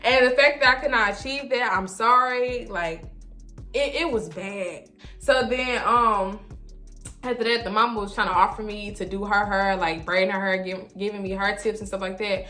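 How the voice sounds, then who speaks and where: A woman vlogger telling a casual story in an animated, emphatic, slangy way, often repeating herself. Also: mid-range voice, normal speed. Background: background music.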